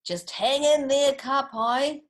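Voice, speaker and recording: A woman's voice: high-pitched, slow, voice pushed up. A woman with a New Zealand accent voicing a bold, matey male albatross in a children's storybook, hearty and urgent. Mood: hearty reassurance.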